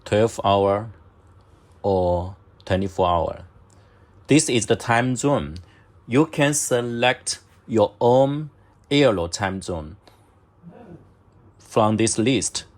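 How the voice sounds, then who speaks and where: Slow, low-pitched man giving instructions. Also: lively intonation. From China. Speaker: product demonstrator. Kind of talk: step-by-step instructional narration of a device menu.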